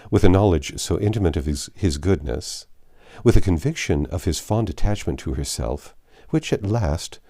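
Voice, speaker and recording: A man narrating a classic novel aloud as an audiobook reader, with measured, expressive storytelling phrasing. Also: sits low.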